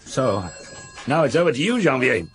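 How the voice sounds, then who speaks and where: An actor playing a seasoned older police inspector in a radio drama, brisk, wry and matter-of-fact. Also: male voice, mid-range voice, big pitch swings, lightly acted. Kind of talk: British radio drama acting.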